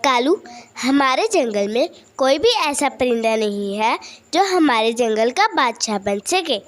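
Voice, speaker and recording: A woman voicing a sensible, ageing female sparrow who speaks in a deliberate, sisterly way in a cartoon. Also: high voice, fairly bright tone, exaggerated voice.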